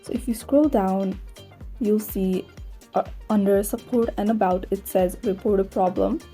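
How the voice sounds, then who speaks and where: A woman's voice: medium pitch, unhurried, warm tone, soft background music. A woman narrating a step-by-step tutorial in a plain, instructional manner. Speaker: tutorial host.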